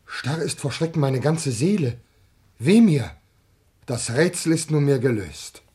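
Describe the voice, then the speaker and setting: An actor playing a proud, humiliated general in a classical verse radio drama, outraged and declamatory. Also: male voice, a little high, lively intonation, unhurried, a little mellow, husky, full character voice. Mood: horrified. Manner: impassioned, declamatory.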